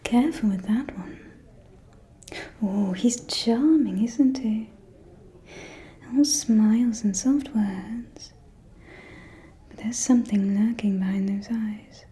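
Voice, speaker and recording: A woman playing a gossiping, catty aristocratic vampire lady in a whispered ASMR roleplay, with a breathy, confiding, arch delivery. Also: mid-range voice, warm tone, lightly acted. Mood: warning, intrigued. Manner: slow, confiding, arch, drawling.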